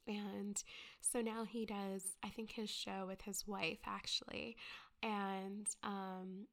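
A young woman with a stuffy, congested voice, talking slowly in a soft half-whisper. Her girly tone carries a gravelly, creaky edge as she rambles through her intro, dotted with fillers. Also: medium pitch, level tone. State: stuffy from a cold. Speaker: ghost story podcaster. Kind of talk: soft whispered casual chat.

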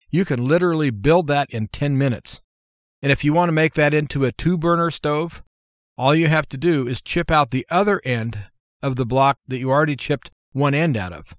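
A man's voice: a little high, no room sound, studio quality. A man giving an unscripted how-to talk as a host, in a folksy, plainspoken, step-by-step manner. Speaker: host of a survival skills show.

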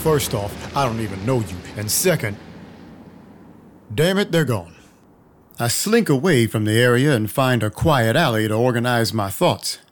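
An actor playing a wry vampire detective who narrates in a dry, measured, noir manner. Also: male voice, mid-range voice, expressive, close to an everyday voice. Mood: wry, matter-of-fact. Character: weary, wry male vampire detective narrating his night. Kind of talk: first-person noir scripted narration.

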